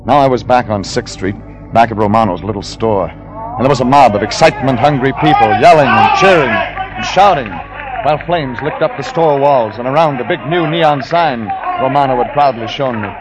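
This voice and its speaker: An actor playing a hard-boiled private detective in a vintage radio drama, narrating in a dry, terse manner. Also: male voice, medium pitch, moderate pace, lightly acted. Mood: hard-boiled narration. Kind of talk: 1940s radio acting. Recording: muffled old radio broadcast with music.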